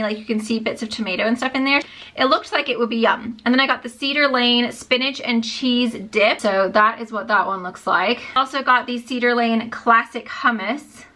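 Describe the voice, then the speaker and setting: A woman's voice: on the high side, bright, forceful tone. A woman in her thirties chatting casually through a haul in her home kitchen, upbeat and full of "like" and "um". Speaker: lifestyle vlogger.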